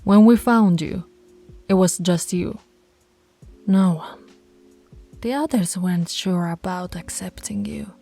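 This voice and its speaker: A woman voicing a dominant female alpha werewolf in an intimate roleplay, commanding yet affectionate, with a breathy, husky voice and slow, deliberate delivery. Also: mid-range voice, warm tone, faint music behind. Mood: earnest, tender. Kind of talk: intimate ASMR roleplay voice acting.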